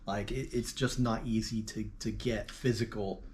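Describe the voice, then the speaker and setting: A man's voice: fairly low pitch, steady tone, normal speed, darkish tone. Rambling easygoing man, slight background noise. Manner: rambling, easygoing, frequent "you know". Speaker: co-host of a music reaction show. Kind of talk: casual off-the-cuff album discussion.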